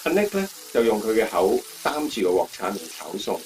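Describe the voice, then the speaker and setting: A male actor narrating a life story in a radio drama in expressive Cantonese, as an admiring storyteller. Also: on the low side, fairly quick, a little hiss or hum, rough recording.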